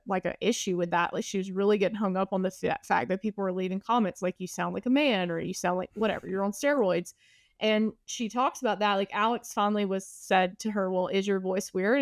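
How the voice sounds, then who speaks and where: A woman with a Southern accent hosts a podcast in a mid-pitched voice. She talks in a chatty way, often answering her own questions. The sound is dry and close to the mic. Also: vocal fry, no echo. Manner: chatty, asks rhetorical questions. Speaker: podcast host. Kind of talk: casual interview talk.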